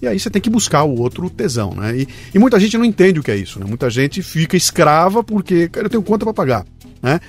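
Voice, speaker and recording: A man's voice: a little high, big pitch swings, quick pace, soft background music. A man hosting an interview podcast, emphatic and prone to repeating words. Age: 50s. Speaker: podcast host. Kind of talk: conversational interview.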